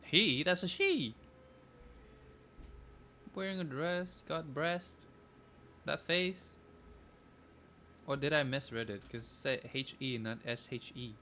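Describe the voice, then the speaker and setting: A man's voice: a little high, animated, takes it slowly, pauses often, sharp tone. A man streaming a game, commenting casually and thinking aloud in short reactive bursts. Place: room with a fan running. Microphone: microphone that picks up room air. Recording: faint noise and game ambience.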